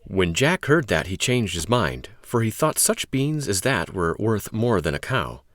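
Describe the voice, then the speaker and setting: A man hosting a read-aloud, telling a story to young children in an expressive, emphatic way. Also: lowish voice, a little slow, no echo, studio quality.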